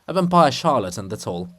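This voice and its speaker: A man voicing a blustering, bluff ruler's statue, loud and dismissive, in a dramatic play reading. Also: mid-range voice.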